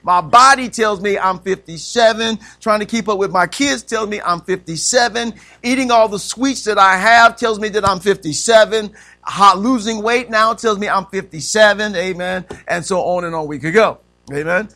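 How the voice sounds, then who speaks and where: High bright-voiced preacher in his fifties. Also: normal speed. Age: 50s. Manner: preaching with frequent "amen" and rising emphatic questions. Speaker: pastor. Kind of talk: sermon.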